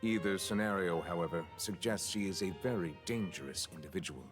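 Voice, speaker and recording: Brief: actor as a grave, formal judge, low voice, faint music under it. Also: male voice, big-room sound, lightly acted. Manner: formal, measured, deliberate. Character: formal, dignified man, a judge. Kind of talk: game voice acting.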